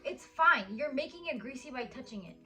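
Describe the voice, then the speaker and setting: A woman's voice: sits high, lively intonation, a little fast, sharp tone, faint music behind. A woman in her early twenties chatting casually and teasing her husband in a Q&A vlog, with lots of "like" fillers. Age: 20s. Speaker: vlogger. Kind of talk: casual couple Q&A chat.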